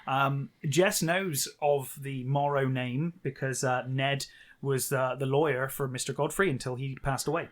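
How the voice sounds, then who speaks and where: A man's voice: medium pitch, steady tone, measured pace. A man co-hosting a podcast, talking casually and jokily as he discusses a story. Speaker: podcast co-host.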